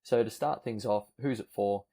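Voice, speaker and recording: A man from Australia with an Australian accent, a nutrition coach making an upbeat, persuasive pitch that lists points one after another. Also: normal speed, dark tone, slightly rough. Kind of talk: sales pitch video.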